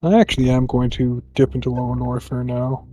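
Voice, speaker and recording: A man playing a game and thinking aloud, muttering his plans in a halting way. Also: medium pitch, completely flat delivery, warm tone, slight background noise. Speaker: speedrunner.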